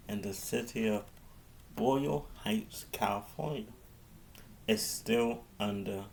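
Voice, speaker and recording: Very slow, halting man giving an address. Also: fairly low pitch, creaky, slight background noise. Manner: halting, repeats and restarts phrases. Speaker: speaker giving a mayor's public address. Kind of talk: improvised public address.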